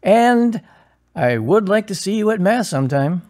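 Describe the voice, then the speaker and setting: A man playing a calm, earnest parish priest in an audio drama, counseling in a measured, instructive way. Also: sits high. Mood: earnest, encouraging.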